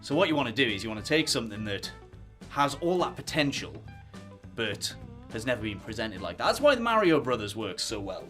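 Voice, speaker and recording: A man co-hosting a podcast, laying out his argument in a measured way with asides. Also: medium pitch, very animated, a bit bright, faint music behind. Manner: measured, argues a thesis with asides. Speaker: podcast co-host. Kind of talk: casual podcast chat.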